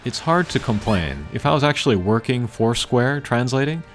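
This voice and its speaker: A man giving casual, unscripted gameplay commentary as a channel host, thinking aloud in a measured way. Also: medium pitch, expressive. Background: game music.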